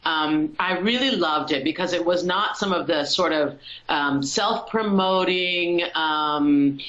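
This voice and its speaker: A woman from Colorado, a musician, talking as an interview guest over a video call in a warm, reflective way, with frequent ums. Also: low-pitched, slow, reverberant, highs cut off. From Colorado, USA. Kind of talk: casual interview conversation.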